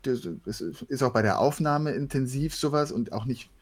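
Reflective man, mid-pitched interview guest. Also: moderate pace. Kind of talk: casual interview answers.

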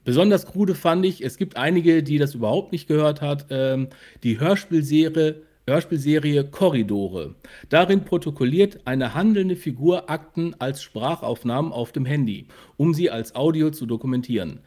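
A man's voice: on the high side, lively intonation, moderate pace, dark tone. A man co-hosting a podcast in casual chat, often searching for words and repeating himself. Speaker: podcast co-host.

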